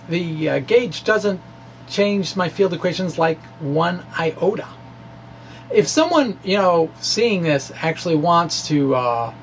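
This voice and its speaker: High-pitched man giving an informal physics lecture. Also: a little hiss or hum. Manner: animated emphasis, halting with stammered restarts. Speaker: amateur physicist presenting his own theory. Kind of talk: informal physics lecture, reading of a blog talk.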